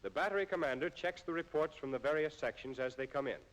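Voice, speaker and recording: An American man reading scripted instructional narration in a formal, measured way, as in an old military training film. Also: on the high side, poor audio.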